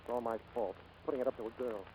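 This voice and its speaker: An actor playing an anxious, devoted flying teacher and boss in a 1940s radio drama. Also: male voice, mid-range voice, steady tone, racing, dull audio, natural voice. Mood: anxious, guilty. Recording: faintly noisy 1940s radio recording.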